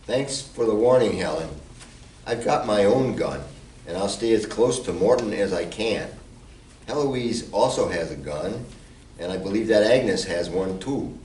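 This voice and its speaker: A man plays a steady, armed ally in an amateur radio drama. His voice is fairly low. It is recorded from a distance in a roomy space with faint hiss. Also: measured pace. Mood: resolute. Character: steady armed male ally of undercover cop. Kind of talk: amateur radio play acting.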